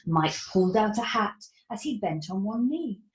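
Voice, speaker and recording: A woman reading her own rhyming children's book aloud in a sing-song, expressive way, with dramatic whispers and gasps. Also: mid-range voice, moderate pace, roomy sound, very noisy.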